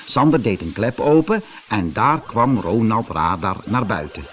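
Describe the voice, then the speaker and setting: A man's voice: on the low side, animated, a little slow, music in the background. A man narrating a scripted children's story as an audiobook reader.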